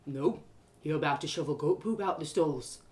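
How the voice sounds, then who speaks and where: Older brother female narrator acting: rustic young man, pitched down, smug, needling, playful. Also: moderate pace, a little mellow, natural voice. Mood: teasing. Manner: mocking, rustic phrasing. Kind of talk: storybook character voice.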